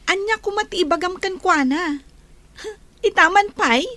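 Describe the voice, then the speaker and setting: A woman with an Ilocano accent playing a nosy, teasing, expressive young confidante in a radio drama. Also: very high voice, unhurried, no room sound, heavily acted. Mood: curious.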